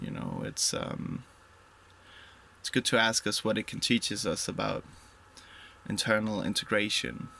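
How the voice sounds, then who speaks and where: Slow, gravelly-voiced man from Denmark. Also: on the low side, bright, forceful tone, slight background noise. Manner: hesitant, many fillers and trailing pauses. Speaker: vlogger. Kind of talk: rambling reflective monologue.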